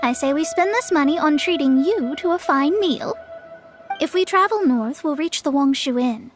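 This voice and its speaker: A woman's voice: high voice, expressive, normal speed, over music. A woman voicing a proud, formal young female astrologer who acts as a generous master, in a video game. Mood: haughty. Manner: haughty, formal and self-important.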